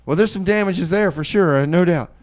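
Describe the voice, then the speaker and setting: A man's voice: high voice, fairly quick. A man hosting a community radio show with casual, improvised chat and announcements, hesitant and folksy, often repeating his phrases.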